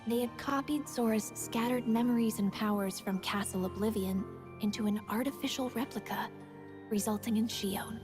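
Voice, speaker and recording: A woman reading scripted narration for a video game story recap in a measured, solemn storytelling manner. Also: on the high side, music in the background.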